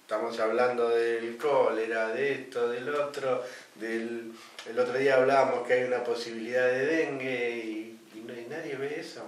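A man's voice: medium pitch, fairly even intonation, moderate pace. Argentine male host, roomy distant sound. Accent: Argentine Spanish. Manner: conversational, prompting with questions, joking. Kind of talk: casual studio chat. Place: small TV studio, indoors. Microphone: desk microphone, some distance away. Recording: roomy, distant studio sound with faint hiss.

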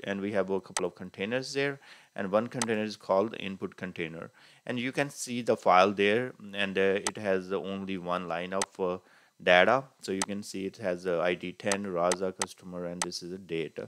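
A man with a fairly low voice walks through a software tutorial on screen, pausing often on "uh" between steps. The recording carries a faint hiss underneath. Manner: unhurried, many "uh" fillers while clicking through steps. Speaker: tutorial instructor.

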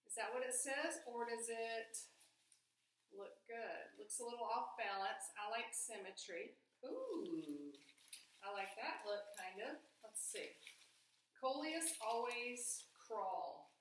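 A woman's voice: fairly high pitch, lively intonation, slow pace, slight background noise. A woman, chatting in a bubbly, casual way as she vlogs about home and garden ideas to the camera. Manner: bubbly, chatty, thinks aloud. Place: indoors in a small room, and on a front porch. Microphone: camera or webcam at close range. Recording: very echoey room.

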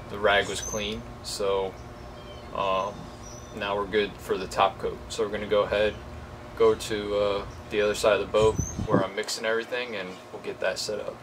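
A man in his twenties talking casually through a how-to job as a DIY video host, recorded outdoors on a camera mic. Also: on the low side. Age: 20s. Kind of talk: casual how-to vlog talk. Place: outdoors beside a shed. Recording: clearly noisy outdoor ambient hum.

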